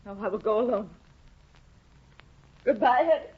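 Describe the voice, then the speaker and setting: A woman's voice: fairly high pitch, normal speed, husky, no room sound. Thea, a heartbroken young woman. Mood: distraught. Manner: pleading, heartbroken, rising to cries. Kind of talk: 1950s radio drama acting. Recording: dull, dry 1950 radio broadcast.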